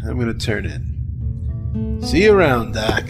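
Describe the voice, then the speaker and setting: A man's voice: medium pitch, sing-song, slow, over music. A man playing a sick, weary plague survivor in an audio drama, wry and resigned. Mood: tired, reflective. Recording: clearly noisy recording with background ambience.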